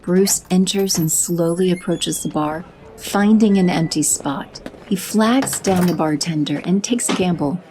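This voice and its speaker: A woman giving even, descriptive scripted narration for an audio drama. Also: lowish voice, a little slow, a little hiss or hum, poor audio.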